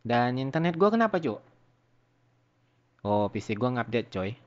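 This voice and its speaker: Male YouTuber, medium pitch, informal tutorial delivery, chatty and slangy, talking straight to viewers. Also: very animated, measured pace, highs cut off. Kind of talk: casual software tutorial.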